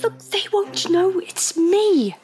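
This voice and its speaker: An actress playing a defiant, sharp-witted Edwardian undercover agent, dry and self-assured. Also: female voice, very high voice, expressive, takes it slowly, soft background music, exaggerated voice. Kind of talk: audio drama acting and narration.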